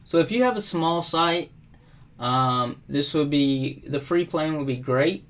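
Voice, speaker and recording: A middle-aged man from South Carolina walking through a software setup at his desk, relaxed and unhurried, with frequent ums and uhs. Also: fairly high pitch. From South Carolina, USA. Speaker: WordPress tutorial host. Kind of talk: casual screen-recorded software tutorial. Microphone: webcam overlay at desk.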